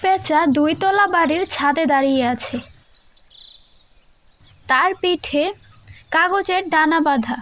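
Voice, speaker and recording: A woman's voice: high-pitched, slow pace, long pauses, a bit bright, a little hiss or hum. A woman narrator telling a scripted children's cartoon story.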